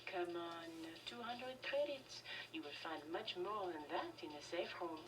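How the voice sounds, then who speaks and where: A woman's voice: on the low side, lively intonation, unhurried. A woman playing a broke, scheming, resentful nightclub hanger-on in video game dialogue. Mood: desperate, sulky. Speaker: game character voice actor. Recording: slightly distant game audio with music behind.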